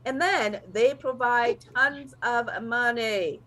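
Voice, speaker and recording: A woman hosting a talk show, measured and steady as she works through points. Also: on the high side. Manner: steady, measured, leads the agenda. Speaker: host.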